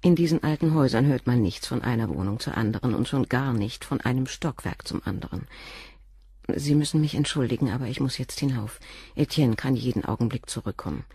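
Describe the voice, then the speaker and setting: An actress playing a dutiful, watchful wife in a radio crime drama, speaking in a measured, formal and emphatic way. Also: female voice, rumbling low, animated, dark tone, slightly rough, no echo, studio quality, heavily acted. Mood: firm, defensive. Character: dutiful, watchful wife guarding her husband.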